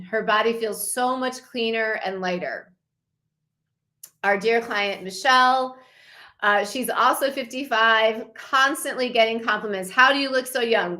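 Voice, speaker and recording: A woman's voice: a little high, pushed and bright. A woman in her fifties presenting slides to a challenge group as a wellness coach, talking in an enthusiastic, promotional way. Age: 50s. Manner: enthusiastic, promotional, slow and deliberate.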